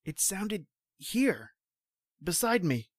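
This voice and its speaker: An actor playing an earnest young state trooper who insists, with a high voice, that his strange story is true. The sound is dry and close, as in a small studio. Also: big pitch swings, slow pace, no room sound, exaggerated voice. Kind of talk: old-time radio drama reading.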